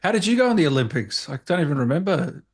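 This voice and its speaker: A man's voice: a little high, sing-song, fast talker, husky. A man with an Australian accent hosting an interview in an informal, matey, joking way. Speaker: interview host. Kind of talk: casual chat.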